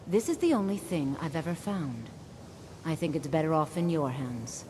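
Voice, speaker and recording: An actress playing a dignified, knowing magic teacher in a fantasy game, speaking in a formal, measured way. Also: female voice, expressive, warm tone. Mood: solemn, knowing. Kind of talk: fantasy video game voice acting. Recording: long hall reverb, faint rumbling noise.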